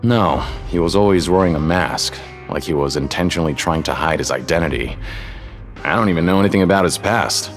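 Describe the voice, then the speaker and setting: Casting: shifty fight-betting vendor played by a man, low voice, lively swings, casual confiding game delivery, music underneath. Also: a little fast, lightly acted. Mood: storytelling. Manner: casual, hesitant, confiding salesman. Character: shifty, folksy adult male betting-ticket seller at fights. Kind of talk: video game character acting.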